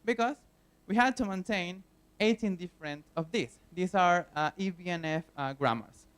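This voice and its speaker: Venezuelan guy explaining stuff at a conference, highish voice, smooth and clear, lots of uhs. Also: moderate pace, fairly bright tone. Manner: earnest, plain explaining with frequent fillers. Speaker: conference speaker. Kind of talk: technical conference talk. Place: conference hall.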